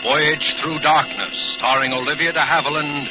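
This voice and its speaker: A man's voice: fairly high pitch, fairly even intonation, moderate pace. A man announcing a program in formal, dramatic 1940s radio style. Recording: muffled old radio, loud music behind.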